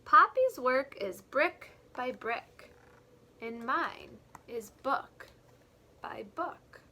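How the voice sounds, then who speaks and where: A woman teacher reading a picture book aloud to young children, expressively and deliberately, pausing to explain things in simple words. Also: high-pitched, very animated, bright, forceful tone, a little hiss or hum. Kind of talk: read-aloud storytelling with explanatory asides.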